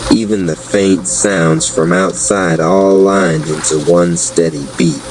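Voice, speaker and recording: A man's voice: sits low, fairly even intonation, takes it slowly, background noise. A man reading a scripted spoken-word story as an audio drama narrator.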